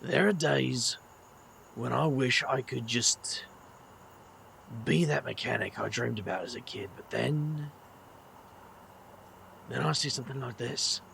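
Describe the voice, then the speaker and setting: An actor playing a weary, kindly middle-aged British magician with a gravelly voice and a slangy, wry way of talking in an audio drama. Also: male voice, medium pitch, slow pace, long pauses, a bit bright, a little hiss or hum, full character voice. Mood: gentle, earnest.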